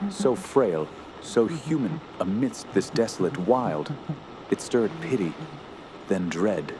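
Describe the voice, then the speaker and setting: An actor playing an earnest, fearful gentleman camper who tells a horror tale in solemn, literary radio drama style. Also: lowish voice, very animated, slow pace, background noise. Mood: brooding dread. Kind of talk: radio drama narration and dialogue.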